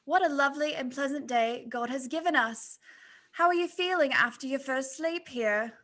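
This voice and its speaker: A woman's voice: high voice, moderate pace, a bit bright. A woman voicing a sweet, prim and pious girl, creepily cheerful, in a comic fan fiction reading.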